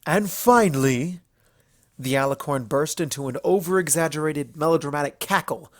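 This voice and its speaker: A man's voice: on the high side, brisk, dry recording. Man narrating a story, very dry sound. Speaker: fan fiction narrator.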